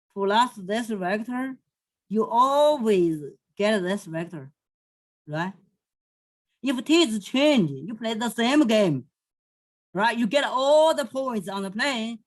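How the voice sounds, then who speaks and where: A woman from southwest China with a Chinese accent, teaching math in a recorded lecture and often pausing to correct herself. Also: a little high, expressive. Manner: slow, halting, thinking aloud, self-correcting. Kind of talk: recorded lecture over a digital whiteboard.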